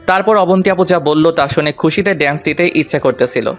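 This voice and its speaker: Higher-voiced man narrating a playful story. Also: a little fast, faint music behind. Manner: playful, colloquial. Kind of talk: first-person romantic story narration with acted dialogue.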